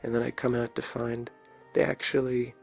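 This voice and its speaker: A man with a low raspy voice giving an ASMR gameplay tour as a video creator, talking softly in a hesitant, rambling way. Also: flat delivery, normal speed. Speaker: video creator showing his game builds. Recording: dull lo-fi mic, clear background music. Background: game music.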